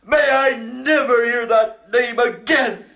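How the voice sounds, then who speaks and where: A man's voice: extremely high pitch, steady tone, takes it slowly, fairly bright tone, soft background music, full character voice. An actor playing a distraught Egyptian king in an audio drama, wailing in anguish.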